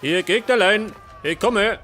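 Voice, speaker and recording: A man's voice: high voice, measured pace. A man with a Bavarian accent giving casual let's play commentary, often muttering questions to himself. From Bavaria, Germany. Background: game music.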